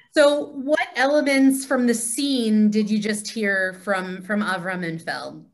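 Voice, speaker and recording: A woman's voice: medium pitch, slow. A woman leading a class with explanatory teaching talk.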